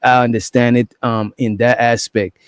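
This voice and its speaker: A man's voice: mid-range voice, flat delivery, moderate pace, clean tone, no echo, clean studio sound. A man from Guyana, a DJ guest on an online talk stream, speaking in a laid-back, slangy way with many filler sounds. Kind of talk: casual online talk-show chat.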